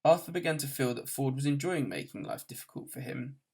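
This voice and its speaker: A man's voice: mid-range voice, brisk, gravelly, a little mellow, husky. A man reading a novel aloud as scripted storybook narration. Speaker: audiobook reader.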